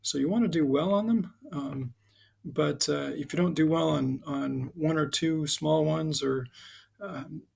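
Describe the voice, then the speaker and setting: Casting: middle-aged American male schoolteacher, slightly high voice with a gravelly edge, halting informal explainer. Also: darkish tone. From United States (Connecticut and Southern California). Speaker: high school history teacher. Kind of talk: informal recorded class introduction over slides.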